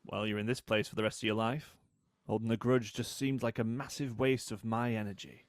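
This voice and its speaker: An actor playing a cool, needling British man in a drama, a wronged brother with a sardonic, deliberate way of talking. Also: fairly low pitch, steady tone, natural voice. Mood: dry, dismissive. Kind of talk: audio drama acting.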